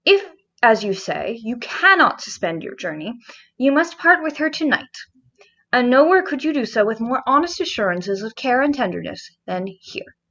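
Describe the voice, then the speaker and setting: A courteous gentleman father, played by a woman reader in a high voice, speaks in formal, gallant, measured phrases. It is an earnest storybook reading with slightly dull, lo-fi sound. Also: sing-song, exaggerated voice. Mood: persuasive, courteous.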